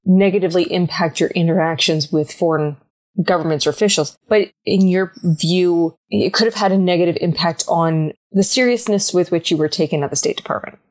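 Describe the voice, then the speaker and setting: A woman hosting a podcast, asking measured, probing questions of her guest. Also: on the low side, a little mellow, rough recording. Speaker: podcast host. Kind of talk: podcast interview.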